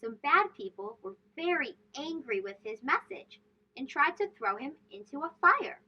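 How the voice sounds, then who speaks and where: A woman's voice: sits high, very animated, moderate pace, highs cut off. A woman hosting a children's read-aloud, telling storybook tales emphatically and putting questions to young listeners.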